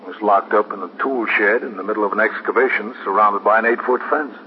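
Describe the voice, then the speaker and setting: A man playing a steady, sober police captain in a 1950s radio drama. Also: fairly low pitch, quick pace, natural voice. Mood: businesslike. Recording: muffled, noisy 1950s radio recording.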